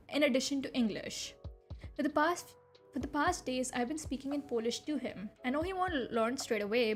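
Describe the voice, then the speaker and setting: A young woman streamer with a high, bright voice whose first language is Hindi. She reads posts aloud and reacts in an animated, incredulous way, laughing often and swearing freely. Soft music plays underneath her. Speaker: VTuber streamer. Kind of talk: reading and reacting to online posts on a live stream.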